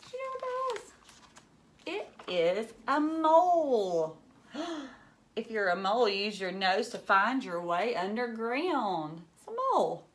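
A woman's voice: on the high side, big pitch swings, measured pace. A woman in her thirties reading a picture book aloud to young children, with exaggerated wonder and lots of questions. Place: indoors, furnished living room.

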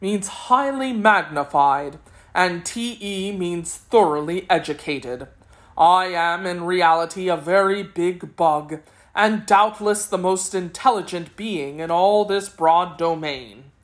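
A woman voicing a vain, pompous, highly educated giant bug gentleman in a storybook. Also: big pitch swings, takes it slowly, few pauses, heavily acted.

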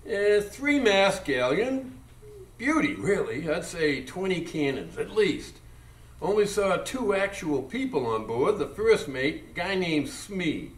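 An actor playing a drunken, brash American steamboat captain who rambles and mocks in a live radio drama. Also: male voice, high-pitched, very animated, takes it slowly, full character voice. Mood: rambling, exasperated. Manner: rambling, mocking, incredulous. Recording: echoey live stage, distant mic.

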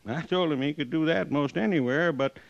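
An actor playing an easygoing older hotel clerk called Pop, talking in a rambling folksy way in a 1950s radio drama. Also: male voice, fairly high pitch, fairly quick, vocal fry. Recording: lo-fi old radio recording.

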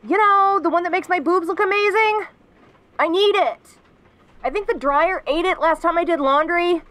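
A woman's voice: very high-pitched, moderate pace, fairly bright tone. Woman acting sassy, flustered tomboy girlfriend. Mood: impatient. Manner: casual, defensive, sarcastic, hesitant when sentimental. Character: sassy, flustered tsundere tomboy daughter on date night. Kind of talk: audio roleplay acting.

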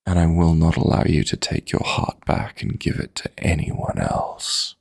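A man playing a possessive, teasing dream demon lover in intimate sleep-aid roleplay audio, coaxing softly and deliberately in a gravelly, breathy voice. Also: very deep voice, heavily acted.

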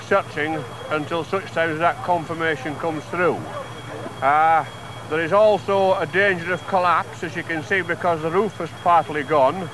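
A man giving a formal, procedural briefing as a fire officer, interviewed outdoors at a fire scene. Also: on the high side. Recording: noisy outdoor news footage.

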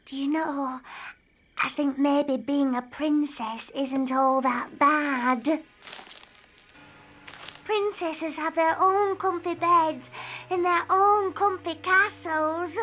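A performer voicing an expressive, imaginative and bossy little girl princess in a preschool cartoon. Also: high voice, takes it slowly, slight background noise, heavily acted. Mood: cautious, reassuring. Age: child. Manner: expressive, childlike, eager.

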